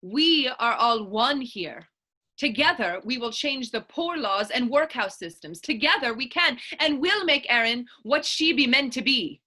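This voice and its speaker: A woman's voice: fairly high pitch, animated, measured pace, bright, forceful tone, heavily acted. A woman playing a bold, fervent Irish political idealist in a staged period drama reading, emphatic and persuasive. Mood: impassioned, defiant. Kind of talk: stage readers-theater dialogue in Irish period dialect.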